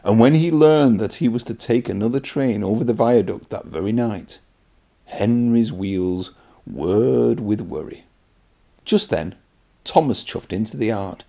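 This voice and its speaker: A man's voice: lowish voice, a little slow, creaky, mellow. A man presenting and narrating a read-aloud storybook for children.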